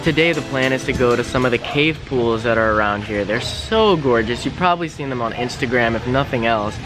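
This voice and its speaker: A man's voice: medium pitch, fairly quick, over music. A young man from the United States vlogging his travels, chatting casually straight to a camera held at arm's length, often saying "like". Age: young adult. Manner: chatty, talks straight to camera, many "like" fillers. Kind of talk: casual travel vlog narration. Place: outdoors on forest paths and indoors in a room. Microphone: handheld camera at arm's length.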